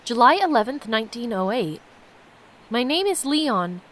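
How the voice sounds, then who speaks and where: A woman reading aloud the journal of a reflective, solitary man in his nineties, measured and solemn. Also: on the high side, very animated, fairly bright tone, slight background noise, voice pushed down. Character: reflective, solitary 92-year-old man's written journal.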